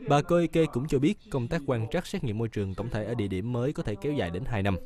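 A man reading a scripted news report as voice-over, in a brisk, even manner. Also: mid-range voice.

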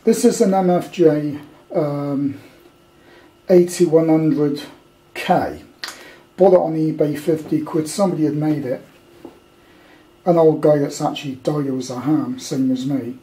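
A man's voice: fairly high pitch, a little slow, long pauses, dark tone, low-quality recording. An older British man giving a casual, unscripted show-and-tell as a hobbyist, rambling along and grumbling bluntly. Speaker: amateur radio hobbyist presenting a kit. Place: indoors at a tabletop at home.

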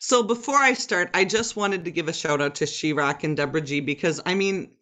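A woman with a deep contralto voice chatting on a video call from home before singing. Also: fairly quick, a bit bright. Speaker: open mic performer. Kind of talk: casual chat and singing. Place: home room with ceiling fan.

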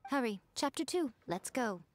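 A woman voicing a sly, elegant fox-spirit woman who runs a publishing house, with a smooth, teasing, self-assured manner. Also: on the high side, sing-song, moderate pace, faint music behind. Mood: poised. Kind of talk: video game voice acting.

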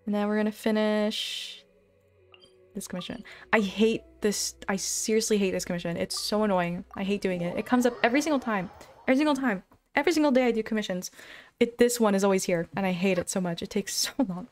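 Young female streamer, informal, expressive, rambling chat over gameplay, background game music. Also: medium pitch, fairly quick.

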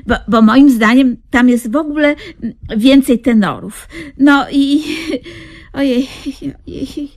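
A long-suffering, flustered woman in a radio comedy. Her voice is extremely high, and she stammers and repeats her words as she tries to humour a fool. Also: slow, darkish tone. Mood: exasperated. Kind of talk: Polish radio comedy acting.

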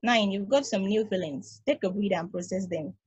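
A woman's voice: mid-range voice, brisk, a little mellow, slightly rough. A woman reading the part of a weary space probe who keeps the peace, tired and placating, at a video-call table read. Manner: tired, placating, then exasperated.

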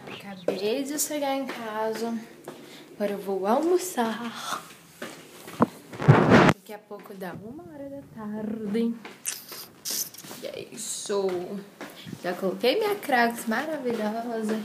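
A teenage girl from Brazil talking casually to a phone camera held at arm's length, animated and slangy. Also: a little high, slow pace, pauses often, background noise. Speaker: vlogger. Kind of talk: casual day-in-the-life vlog. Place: bedroom and shopping mall, indoors. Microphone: handheld phone camera at arm's length.

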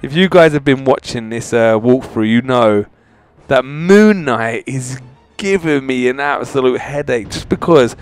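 A man with a slightly varied, mid-pitched voice gives casual gaming commentary over game music. He chats informally to his viewers and tends to repeat himself as he plays. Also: lively intonation, a little slow, clear, resonant tone. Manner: informal, chatty, addressing viewers directly. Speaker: gaming channel host. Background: video game music.

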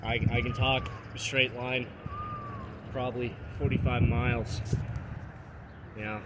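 A man giving a casual technical show-and-tell of his own gear, matter-of-fact and quietly proud. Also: medium pitch, level tone, takes it slowly. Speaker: truck owner showing his CB radio setup. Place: parking lot, in and around a pickup truck. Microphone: handheld phone or camera. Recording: noisy handheld outdoor and vehicle recording.

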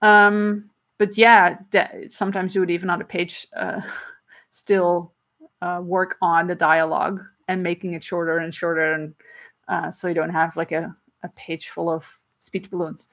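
Woman, 30s, non-native English speaker, livestream host; relaxed, chatty, lots of fillers and laughs, recorded on a webcam in a small home room. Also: on the low side. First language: not English. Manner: chatty, frequent "um"s and quick laughs, gestures while explaining. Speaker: comic artist and livestream host. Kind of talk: casual livestream Q&A. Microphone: webcam at desk distance.

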